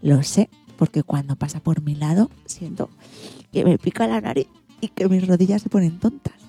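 A woman's voice: lowish voice, normal speed, dark tone, slightly rough, soft background music. A woman playing a dreamy, lovestruck little girl who tells her own story simply and wistfully in a children's radio play.

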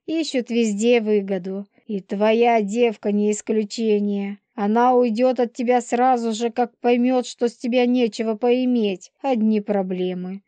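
Woman acting a spiteful, high-voiced young she-wolf. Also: warm tone, no room sound, lightly acted. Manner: taunting, theatrical. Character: spiteful, manipulative pregnant young werewolf woman, bitter and pouting. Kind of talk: dramatised audiobook dialogue.

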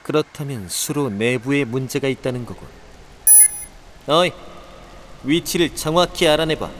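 A man's voice: medium pitch, normal speed, noisy background, close to an everyday voice. Man as an arrogant young genius inventor. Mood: irritated. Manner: haughty, curt, scoffing. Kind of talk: Korean audio drama acting.

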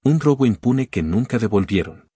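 A man's voice: mid-range voice, normal speed, husky, dry recording. Cuban-accented middle-aged man, audiobook reading, dry close sound, earnest tone. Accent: Cuban Spanish. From Cuba. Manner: earnest, steady reading with long listing phrases. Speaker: audiobook narrator.

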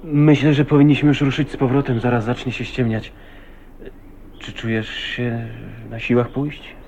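An actor playing a teasing, protective husband in a radio drama, with an expressive manner. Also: male voice, mid-range voice, moderate pace, highs cut off, lightly acted. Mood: subdued. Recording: slightly noisy 1980s radio recording.

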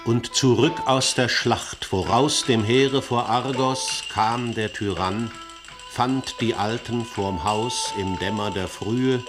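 Man as slow, solemn tragic chorus narrator. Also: mid-range voice, fairly even intonation, close to an everyday voice. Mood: grave. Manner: grave, declamatory verse delivery. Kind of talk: classical tragedy radio play narration. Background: music.

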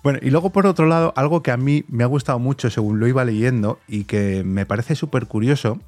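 A man's voice: medium pitch, fairly quick, darkish tone, dry recording, faint music behind. A man with a Castilian Spanish accent hosting a conversational interview, informal and reflective, repeating phrases for emphasis. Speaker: podcast host, interviewer.

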